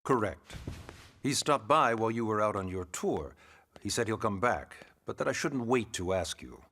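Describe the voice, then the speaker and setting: A man playing a wry, formal, gently pushy government secretary in a video game cutscene, courteous and smug. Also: lowish voice, lively intonation, moderate pace, full character voice. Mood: polite, dry. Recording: loud music behind the voice.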